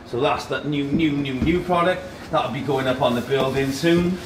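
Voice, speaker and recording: A man's voice: mid-range voice, normal speed. Man presenting an unboxing in an echoey room. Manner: talks while handling boxes and products, in short phrases. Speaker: host of a tech unboxing video. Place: indoor office meeting room. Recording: echoey room, background noise and handling clatter.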